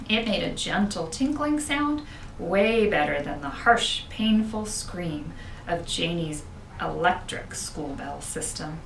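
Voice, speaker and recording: A woman reading a children's book aloud with expressive storytelling, as a school principal would to pupils. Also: lowish voice, creaky. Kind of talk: children's audiobook narration. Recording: roomy, distant, noisy home-style recording.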